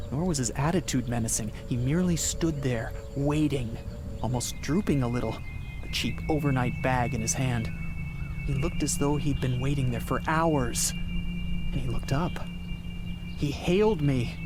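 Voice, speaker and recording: A man's voice: medium pitch, measured pace, lightly acted. An actor playing a haunted, increasingly frantic driver in a radio play, brooding as he narrates and stammering when agitated. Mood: brooding, uneasy. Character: haunted, increasingly frantic man driver recounting his cross-country journey. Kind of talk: dramatic first-person radio play narration and acting. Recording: loud background noise with vehicle ambience.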